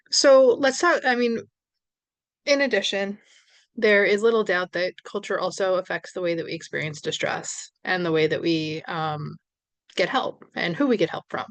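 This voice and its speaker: A woman's voice: on the low side, no room sound. A woman from New York with a New York accent, giving a recorded social work lecture in a conversational way, with fillers and small self-corrections.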